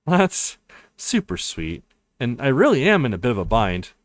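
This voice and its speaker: Guy doing a shy modest woman from a visual novel, halting, pitch moves around a lot, dry recording. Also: wildly swooping pitch, dry recording. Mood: hesitant, touched. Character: hesitant, touched woman politely declining kindness.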